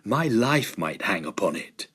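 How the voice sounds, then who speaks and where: A man playing a determined, agitated young gentleman in a Victorian horror drama, speaking earnestly and emphatically in formal phrases. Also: lowish voice, very animated, a little slow, slightly rough, exaggerated voice. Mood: grave, resolute.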